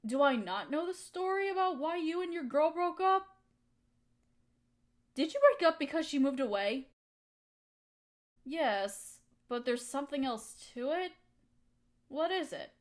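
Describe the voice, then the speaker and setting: A woman's voice: sits high, expressive, unhurried, long pauses. A young woman acting a hurt, resentful friend in a dramatic audio roleplay, speaking in an emotional, accusing way. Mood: subdued, wary.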